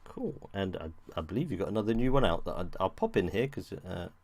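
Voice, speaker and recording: Male host, deep and gravelly, hesitant casual patter. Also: sing-song, brisk, darkish tone, no room sound. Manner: loose and hesitant, many ums and restarts. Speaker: host of an online audio show. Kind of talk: casual live show chat.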